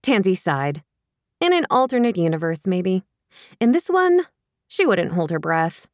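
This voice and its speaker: A woman reading a romantic comedy audiobook with wry, expressive, dramatic phrasing. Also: on the low side, a little slow, no echo, studio quality. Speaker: audiobook narrator. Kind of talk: scripted fiction narration, romantic comedy.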